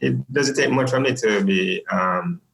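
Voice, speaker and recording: A man's voice: medium pitch, unhurried, vocal fry, fairly bright tone, echoey room, slight background noise, low-quality recording. A young man with a Jamaican accent, a podcast guest talking casually and jokingly with many fillers. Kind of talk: casual interview chat.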